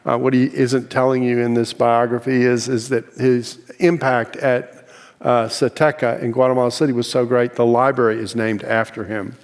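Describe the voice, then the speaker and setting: A man's voice: medium pitch, level tone, moderate pace, big-room sound. An older man hosting an interview, talking in a friendly, rambling way with many fillers and restarts. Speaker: interview host.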